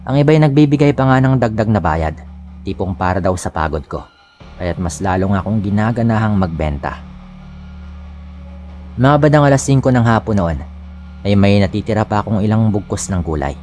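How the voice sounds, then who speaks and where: Male Filipino horror narrator, low voice, scripted first-person storytelling over a clear music bed. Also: animated, fairly quick, pauses often, a little mellow. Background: background music.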